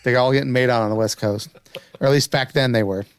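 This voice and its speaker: A man's voice: medium pitch, steady tone, quick pace, clear, resonant tone, no room sound, studio quality. A man co-hosting a podcast, chatting casually about shows in a conversational way. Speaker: podcast co-host.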